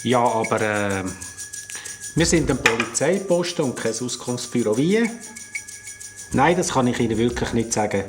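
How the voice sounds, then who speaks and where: A man's voice: medium pitch, expressive, normal speed, long pauses, music in the background, lightly acted. A man with a Swiss German accent playing an earnest police officer at a small station in a children's radio play, talking plainly and a little hesitantly. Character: earnest male desk policeman. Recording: noisy recording.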